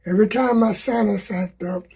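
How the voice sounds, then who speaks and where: A hoarse man from South Carolina, giving folksy gardening advice to his viewers outdoors and repeating his sayings to drive them home. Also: high-pitched, moderate pace, darkish tone. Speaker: gardening vlogger.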